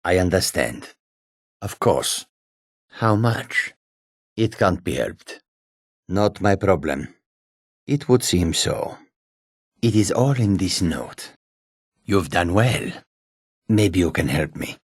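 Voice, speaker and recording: A man voicing a wary, combative male cat-folk character from a fantasy video game, with a gravelly, raspy voice and exotic, formal phrasing. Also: deep voice, lively intonation, slow, long pauses, dry recording, studio quality, heavily acted. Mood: calm, courteous.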